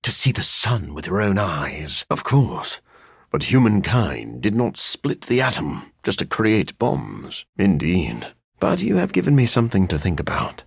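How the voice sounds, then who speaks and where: An actor playing a sophisticated, bookish vampire scientist in a video game, speaking in an articulate, formal way with dry wit and a raspy edge. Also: male voice, deep voice, big pitch swings, measured pace, no room sound, exaggerated voice. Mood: thoughtful. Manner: articulate, formal, dryly witty. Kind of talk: video game character voice lines.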